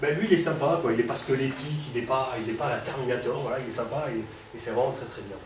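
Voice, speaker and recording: A man's voice: medium pitch, quick pace. A man with a cold presenting a robot live to an audience, speaking casually with lots of fillers and questions to the room. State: has a cold. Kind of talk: live technical demo to an audience. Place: hall with an audience. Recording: echoey room, distant mic, background noise.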